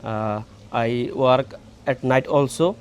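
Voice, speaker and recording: A man with a Bangladeshi accent, an international student, speaking halting English with frequent fillers in an interview. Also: mid-range voice, slight background noise. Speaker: international student and delivery worker.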